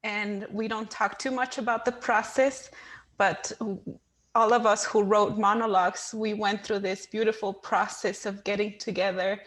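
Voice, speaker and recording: A woman giving an earnest, personal reflection to a group, pausing as she finds her words. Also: medium pitch, steady tone, fairly bright tone. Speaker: monologue writer and panel participant.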